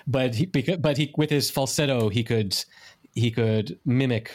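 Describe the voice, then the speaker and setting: A man's voice: mid-range voice, moderate pace, a little mellow. A man hosting a podcast in casual chat, often stammering and restarting as he gathers his thoughts. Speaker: podcast host.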